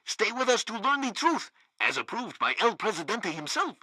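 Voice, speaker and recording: A male state radio host from a comic video game. He is pompous and obsequious, with a lively, swooping delivery and a bright, forceful voice. The sound is dry and close, like a studio announcer. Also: high voice, moderate pace, breathy edge, dry recording, exaggerated voice, low-quality recording. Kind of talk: satirical radio news announcing.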